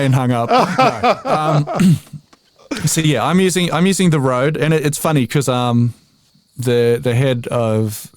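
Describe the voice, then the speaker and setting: An Australian man in his forties, a voiceover artist talking casually as a podcast guest, chatty and self-deprecating with frequent restarts and laughs. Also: medium pitch. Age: 40s. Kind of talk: casual interview chat.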